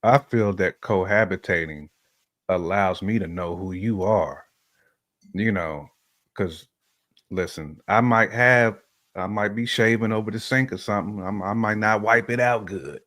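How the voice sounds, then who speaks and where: Low-voiced man in casual, opinionated talk. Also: measured pace, a bit bright, no echo, clean studio sound. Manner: repeats phrases for emphasis, colloquial. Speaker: talk show co-host.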